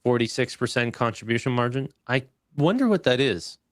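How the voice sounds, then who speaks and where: Dry-sounding man in casual, halting commentary. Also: mid-range voice, animated, no room sound. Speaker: live stream co-host.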